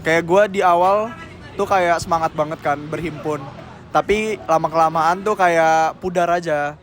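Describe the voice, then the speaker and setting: Young man, a bit high-pitched, loose slangy answers with lots of fillers, outdoor interview. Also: steady tone, unhurried, faint music behind. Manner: rambling, slangy, heavy with fillers. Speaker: senior member being interviewed.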